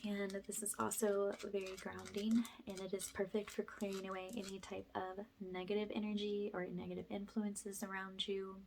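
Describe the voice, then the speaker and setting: A woman speaking in a hushed, often whispered way, guiding a spiritual ASMR energy cleansing as a healer. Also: medium pitch, little pitch movement, normal speed, gravelly, hardly any pauses, a little hiss or hum.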